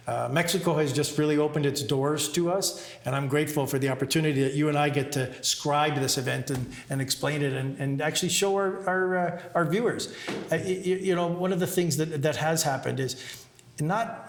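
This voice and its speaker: Co-host: enthusiastic man, repeats words, slightly high voice, roomy sound. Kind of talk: conversational interview talk. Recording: echoey room.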